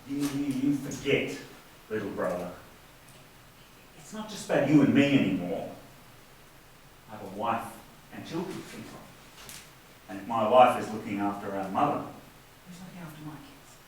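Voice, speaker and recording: Man acting stammering, spiteful husband, swooping pitch, echoey. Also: animated, normal speed, long pauses, natural voice. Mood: defensive. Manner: hesitant, stammering, word repetitions. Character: henpecked, stammering married older brother, spiteful and threatening. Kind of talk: amateur stage play acting. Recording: echoey room from far off, faint noise.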